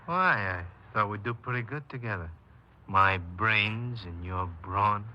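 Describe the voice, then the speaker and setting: An actor playing a cold, remorseless, cocky killer and burglar in a 1950s radio crime drama, speaking in a clipped, matter-of-fact, commanding way. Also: male voice, on the low side, a little slow, sharp tone. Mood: menacing. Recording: noisy, dull 1950s radio recording.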